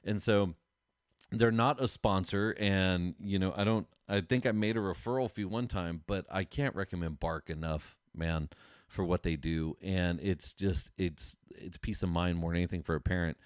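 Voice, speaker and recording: Low-voiced, chatty American man. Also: moderate pace, smooth, no echo, clean studio sound. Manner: informal, enthusiastic, slangy, chatty. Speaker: podcast host. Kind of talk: casual solo livestream chat.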